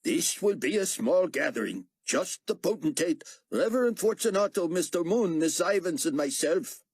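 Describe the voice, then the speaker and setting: A man pitches up to play a brusque male security director who gives orders curtly. The husky voice sits high and lively, recorded close and dry. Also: normal speed, no room sound, heavily acted. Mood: curt, commanding.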